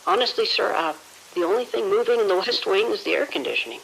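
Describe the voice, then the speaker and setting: A man's voice: high-pitched, fast, vocal fry, a bit bright. An actor playing a deferential, slightly awkward junior security guard reporting to his boss in an audio drama, hesitant and casual. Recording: hissy, crackly radio-like noise.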